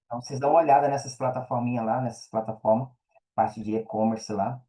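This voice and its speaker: A Brazilian man with a mellow, relaxed tone and a medium pitch chats casually as on a podcast. His speech is slangy and conversational, often doubling back on a phrase for emphasis. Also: fast, poor audio.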